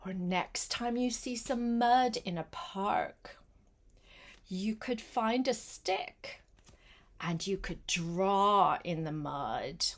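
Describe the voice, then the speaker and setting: An older woman reads a children's picture book at a slow pace. Her manner is gentle and coaxing, and she often turns from the story to speak directly to the little listener. Her pitch sits in a middle range for a woman. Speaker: grandmother reading a picture book aloud. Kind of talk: read-aloud storytelling to a small child, with chatty asides.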